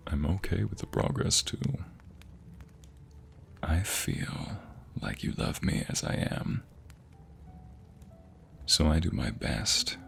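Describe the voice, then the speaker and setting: Man acting a very slow, gravelly, tender husband. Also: low voice, heavily acted. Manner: soft, breathy, lingering delivery dropping into whispers. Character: doting, tender husband comforting his sweetheart. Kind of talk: ASMR roleplay, intimate scripted acting.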